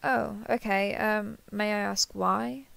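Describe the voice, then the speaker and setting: Woman playing kindhearted awkward young woman, hesitant and earnest, creaky voice, close dry sound, intimate audio roleplay. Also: medium pitch, fairly even intonation, takes it slowly, a bit bright, no echo, exaggerated voice.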